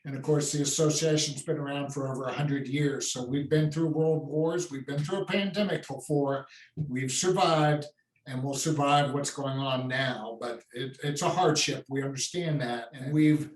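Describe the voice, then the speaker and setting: A man's voice: medium pitch, fairly even intonation, normal speed. An older man, head of a trade association, talking plainly to members on a conference call, with many restarts. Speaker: association CEO. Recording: echoey and distant from the mic.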